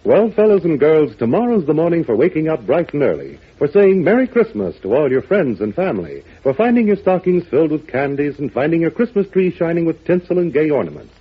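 A man's voice: fairly high pitch, sing-song, fairly quick, few pauses, breathy edge. A man announcing on old-time radio, earnestly and chummily addressing young listeners. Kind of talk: 1940s children's radio address. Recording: thin phone-like old radio recording.

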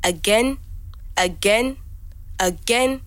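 A woman playing a reflective young migrant, speaking measured, introspective fragments as a scripted audio diary. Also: medium pitch, dry recording. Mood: pensive, weary. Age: young adult. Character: reflective young African migrant woman recording private night-time notes.

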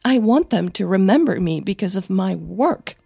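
A woman's voice: mid-range voice, expressive, normal speed, creaky, mellow, slightly rough, no echo, studio quality, soft background music. A woman voicing a bitter, defensive young actress in an audio drama quarrel, emotional and clipped, with a flu-affected voice. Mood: bitter, upset.

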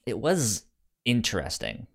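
Lively man, halting podcast chat. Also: fairly low pitch, creaky. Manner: hesitant, stammering over words. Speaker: podcast co-host. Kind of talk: casual book discussion podcast.

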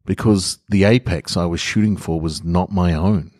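A man with a very deep voice speaks as the host and reader of a podcast. His phrasing is measured and deliberate, with space left between thoughts. The sound is close and very dry, with hardly any room on it. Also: darkish tone, no room sound. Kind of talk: scripted literary monologue narration.

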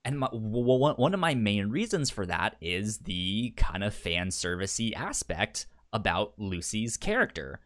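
A man's voice: fairly low pitch, big pitch swings, a little slow, hardly any pauses, fairly bright tone. A man co-hosting a book club podcast, chatting casually and often stammering on the first sound of words. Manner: stammers on word starts, repeats words. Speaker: podcast co-host.

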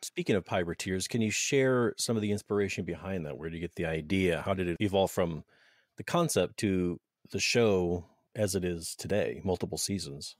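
A man's voice: deep voice, moderate pace, dry recording, clean studio sound. A man hosting a podcast in casual, joking chat, rambling and breaking off mid-sentence. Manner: joking, rambling, self-interrupting. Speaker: podcast host.

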